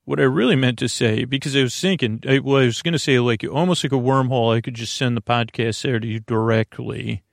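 A man hosting a sleep podcast, with a gravelly voice and a halting, meandering delivery that stammers, repeats words and trails off. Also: medium pitch, fairly even intonation, dry recording, studio quality. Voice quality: gravelly, creaky. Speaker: host of a bedtime sleep podcast. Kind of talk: rambling bedtime monologue.

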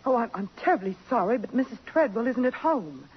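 A nervous, apologetic housemaid in a vintage radio drama. Her voice jumps about in pitch. The old broadcast is muffled, with faint noise. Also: big pitch swings, a little fast. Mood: flustered, apologetic. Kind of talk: 1950s radio drama acting.

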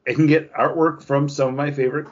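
A man's voice: a little high, little pitch movement, quick pace. A man talking casually and emphatically about games as a podcast co-host, with some swearing, on slightly dull audio.

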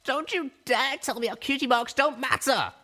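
A man voicing a young female unicorn pony, grief-stricken and furious, in shrill desperate shouts. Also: wildly swooping pitch, slow, creaky, full character voice. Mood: anguished, furious.

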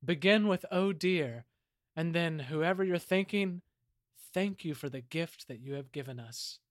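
A man's voice: on the high side, a little slow, no room sound, lightly acted. A man acts out an earnest male historian in an audio play. He declaims formally and with self-importance, in a raised voice with a very dry, close sound. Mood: earnest, grandiose.

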